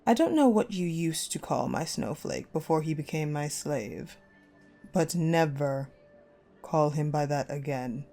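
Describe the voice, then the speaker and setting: A woman playing a cold, possessive ice-queen general in a dark romance audio roleplay, commanding and menacing. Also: low voice. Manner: commanding, menacing, slow and deliberate, dropping to hushed.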